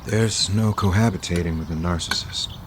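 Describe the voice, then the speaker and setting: An actor playing a gentle, earnest, considerate man in an audio soap opera. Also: sits low, moderate pace, noisy background, lightly acted. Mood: hesitant, earnest.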